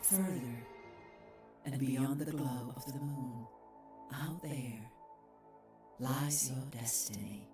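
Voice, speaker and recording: A man giving slow, grand and solemn narration for a voice pack trailer. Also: medium pitch, close to an everyday voice. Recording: narration over loud music.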